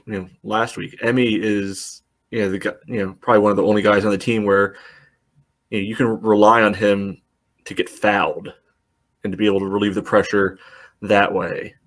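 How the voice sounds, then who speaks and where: A man co-hosting a podcast, talking casually about soccer and leaning hard on "you know.". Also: on the low side. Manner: rambling, heavy "you know" fillers. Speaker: podcast co-host.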